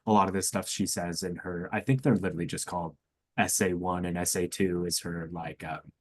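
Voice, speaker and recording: A man's voice: low-pitched, fairly even intonation, brisk. A young man in a casual discussion on a student podcast, talking in a hesitant, thoughtful, informal way.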